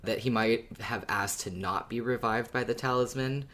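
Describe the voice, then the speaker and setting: Female podcast co-host with an extremely low voice, agreeable and engaged, chatting casually and passing along listener comments. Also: normal speed, a bit bright. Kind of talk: casual fan discussion, relaying listener comments.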